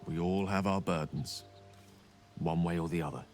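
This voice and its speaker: An actor playing a grave, wary adventuring companion in a video game, with a formal, solemn manner. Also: male voice, sits low, fairly even intonation, normal speed, darkish tone, faint music behind, natural voice. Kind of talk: video game character dialogue.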